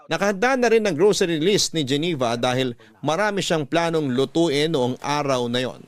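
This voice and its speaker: A man's voice: a little high, lively intonation, moderate pace. A man reading a scripted first-person story in a sentimental, radio drama storytelling style. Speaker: letter narrator in a radio-style love story podcast.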